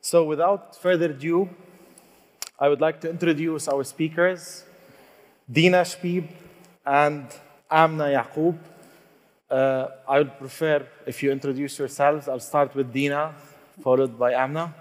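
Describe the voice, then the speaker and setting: A man moderating a business panel, formal and pausing between phrases. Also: on the high side, steady tone. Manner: formal, reads introductions with pauses. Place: conference hall. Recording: hall reverb.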